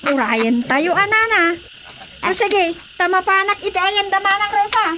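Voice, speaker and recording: A woman playing a fussy, officious daughter and village secretary in a comedic radio drama, with an Ilocano Filipino accent and a brisk, scolding manner. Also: very high voice, over music. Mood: placating. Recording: crowd noise behind.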